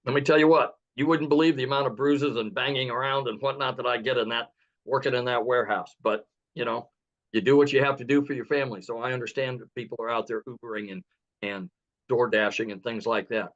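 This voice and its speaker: A man's voice: fairly even intonation, fairly quick. A man giving an earnest, persuasive webinar testimonial over a video call. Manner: earnest, persuasive sales pitch. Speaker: guest speaker. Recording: muffled lo-fi online call audio.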